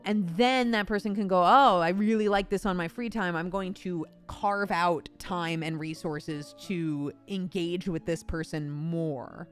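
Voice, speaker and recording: A woman with a slightly low-pitched voice talks casually, like a host in conversation. She illustrates her thoughts with little imagined lines of dialogue. Faint music plays behind her. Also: steady tone, a little slow, few pauses. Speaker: voice actor and host.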